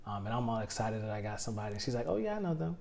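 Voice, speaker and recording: A man's voice: lowish voice, sing-song, racing, creaky, crowd noise. A man hosting a casual interview show, easygoing and rambling with frequent laughs. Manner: easygoing, rambling, many fillers and restarts, laughs. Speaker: podcast host.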